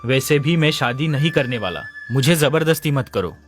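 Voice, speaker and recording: A man's voice: mid-range voice, lively intonation, moderate pace, faint music behind, natural voice. A man voicing a lazy, stubborn young man in a cartoon story, talking back defiantly. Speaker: voice actor.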